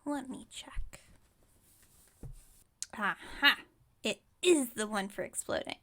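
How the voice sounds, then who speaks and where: Woman acting high-voiced, scatterbrained, overconfident witch. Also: slow pace, fairly bright tone. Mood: focused musing. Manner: chatty, breezy, self-assured, musing aloud. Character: scatterbrained, overconfident witch shopkeeper, cheerfully reckless. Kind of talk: ASMR roleplay audio drama.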